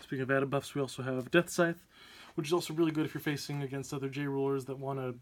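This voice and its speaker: A young man hosting a card game channel, slightly hoarse from a sore throat, talking casually and upbeat with lots of fillers. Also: medium pitch, little pitch movement, fast. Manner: chatty, upbeat, many "uh" fillers. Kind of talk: casual deck profile walkthrough.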